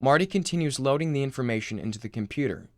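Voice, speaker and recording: A man's voice: mid-range voice, expressive, fast talker, a little mellow, dry recording. A man reading scripted screenplay narration, including stage directions, in a recording studio. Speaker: screenplay reader.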